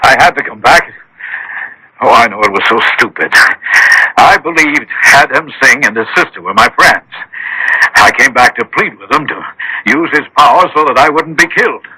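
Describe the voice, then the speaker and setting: A husky, tense male voice. a gullible, easily flustered man in a radio mystery, halting and agitated, with a rueful, fearful temper. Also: medium pitch, expressive, bright, forceful tone, exaggerated voice, rough recording. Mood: shaken, remorseful.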